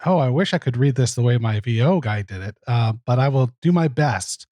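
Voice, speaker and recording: A man's voice: mid-range voice, animated, a little fast, warm tone, no echo. A man speaking casually as a producer guesting on a podcast. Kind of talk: casual interview talk.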